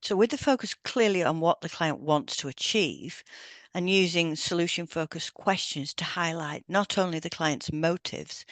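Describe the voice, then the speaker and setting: A woman's voice: deep voice, no echo. A woman co-hosting a podcast, reading scripted educational narration in an explanatory manner. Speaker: podcast co-host, hypnotherapist.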